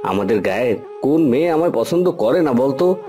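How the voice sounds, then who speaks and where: A man's voice: medium pitch, very animated, brisk, music in the background, natural voice. An actor playing a vain, contemptuous young village man in a fairy-tale cartoon, boastful and disdainful. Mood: smug.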